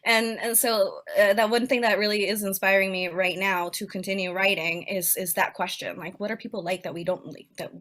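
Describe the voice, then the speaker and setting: A young woman from Peru, an author being interviewed, chatting casually with lots of "like" and quick laughs over a video call. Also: medium pitch, fairly even intonation, a bit bright. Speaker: author guest.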